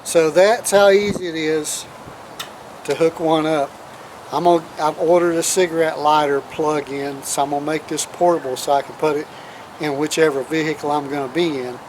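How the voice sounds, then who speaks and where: Folksy man, higher-pitched, noisy outdoors. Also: steady tone, normal speed. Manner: folksy, informal grammar. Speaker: host of a do-it-yourself radio video. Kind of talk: casual hands-on demonstration. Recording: clear outdoor background noise with vehicles. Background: outdoor ambience, vehicle noise.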